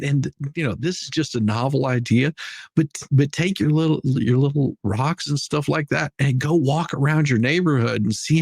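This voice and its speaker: A man's voice: medium pitch, darkish tone, breathy edge, dry recording, studio quality. A man hosting a casual livestream, commenting in a mocking, sarcastic way and rambling through repeated phrases as he chats with viewers. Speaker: livestream host.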